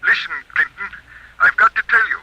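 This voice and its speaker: A man's voice: sits high, animated, brisk, noisy background. A man playing a frightened captive professor in an old radio drama, urgent and strained through a telephone filter. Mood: desperate, urgent. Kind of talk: 1950s radio drama acting. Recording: muffled lo-fi old radio phone voice.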